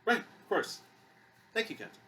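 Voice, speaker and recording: A man's voice: moderate pace, pushed and bright, recorded from a distance, lightly acted. A man voicing a flustered, awkward male civilian who stammers and hesitates, in an audiobook story.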